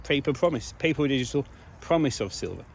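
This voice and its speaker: Male vlogger, slightly hoarse voice, emphatic and informal, mid-pitched, recorded outdoors in town. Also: expressive, a little fast, slight background noise. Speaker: video blogger. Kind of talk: casual monologue to viewers.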